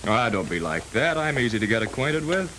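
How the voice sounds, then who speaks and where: An actor playing a brash, pushy masher in a 1930s film, on a crackly soundtrack. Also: male voice, medium pitch, very animated, brisk, close to an everyday voice. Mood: leering.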